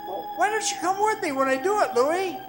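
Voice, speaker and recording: An actor playing a cocky young mine guide in a radio drama, folksy and coaxing, moving between narration and dialogue. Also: male voice, very high-pitched, expressive, a little fast, over music. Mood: excited. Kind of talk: old-time radio drama acting, part narration, part dialogue.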